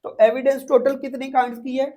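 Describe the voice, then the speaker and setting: A woman's voice: high-pitched, flat delivery, quick pace, mellow. A woman with a Pakistani accent giving an informal lecture as an exam prep teacher, explaining step by step with frequent repetition and check-ins.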